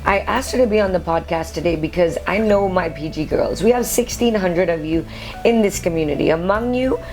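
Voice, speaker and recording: A woman hosting a casual interview podcast, speaking in a playful, teasing, expressive way. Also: on the low side, a little fast, soft background music, poor audio. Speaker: podcast host; preacher.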